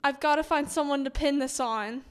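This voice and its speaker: A woman's voice: high-pitched, moderate pace, close to an everyday voice. A woman voicing a man who schemes to frame someone else for a crime, in a game voice-over. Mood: scheming.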